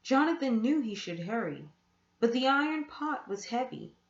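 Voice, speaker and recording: A woman reads a children's book aloud in a fairly high voice. Her storytelling is animated and swings widely in pitch. The sound is echoey, as if in a bare room. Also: normal speed, muffled recording. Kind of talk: expressive children's read-aloud narration.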